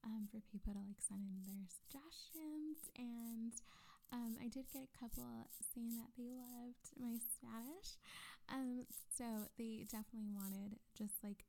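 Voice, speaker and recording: A woman hosting her own podcast, chatting casually with lots of fillers, giggles and soft half-whispered asides. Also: a little high, fairly even intonation, measured pace, creaky. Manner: rambling, many fillers and giggles. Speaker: podcast host.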